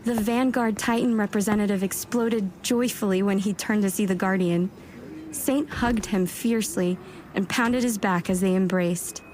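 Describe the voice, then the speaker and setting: A woman's voice: mid-range voice, background noise, natural voice. A woman playing an eager, self-doubting warlock scholar in an audio drama, chatty and wry as she narrates her own thoughts. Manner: wry, chatty inner monologue with muttered self-talk. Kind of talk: first-person audio drama narration and dialogue.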